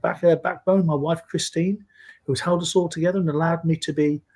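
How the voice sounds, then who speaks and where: A man in his sixties from Scotland, speaking in a measured, earnest way as a guest in a live video-call interview. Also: a little high, a little mellow, husky, poor audio. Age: 60s. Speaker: interview guest, safety leader.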